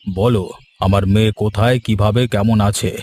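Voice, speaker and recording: A man's voice: fairly low pitch, fairly even intonation, moderate pace, darkish tone, natural voice. A man voicing a proud, stern, pompous middle-aged village headman and wronged father in a cartoon. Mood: stern, indignant. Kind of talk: cartoon voice acting.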